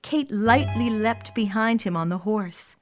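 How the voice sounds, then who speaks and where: A woman's voice: medium pitch, expressive, measured pace, a little mellow. A woman storyteller reading a scripted fairy tale for children, with music behind her voice.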